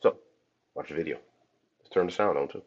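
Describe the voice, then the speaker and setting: A man hosting a laundromat podcast, hoarse from a cold, talking casually and chatty with his listeners. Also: rumbling low, a little fast, noisy background. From Cleveland, Ohio. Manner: chatty, addresses listeners as y'all. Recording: dull lo-fi audio.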